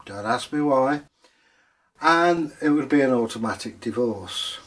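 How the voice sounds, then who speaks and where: Theatrical male storyteller, mid-pitched. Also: expressive. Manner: theatrical, jokey, drawn-out emphasis. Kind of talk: animated oral folktale telling and chat.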